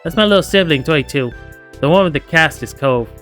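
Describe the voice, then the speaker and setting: The bossy big sister hurrying everyone along in a story game, voiced by a man streaming it, pitched up over the soundtrack. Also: lively intonation, a little fast, fairly bright tone, soft background music. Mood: eager, bossy. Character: eager, bossy young girl, an older sister.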